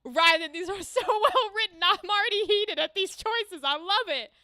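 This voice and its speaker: A young American woman streaming a game, reacting excitedly and swearing freely as she plays. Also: very high-pitched, normal speed, no room sound, clean studio sound. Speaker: streamer playing games. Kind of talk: live game commentary.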